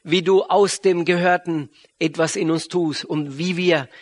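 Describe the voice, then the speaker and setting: Argentine-accented male pastor, slightly high voice. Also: fairly even intonation, measured pace. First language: Spanish. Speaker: visiting pastor and regional church leader. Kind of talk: sermon introduction to a congregation.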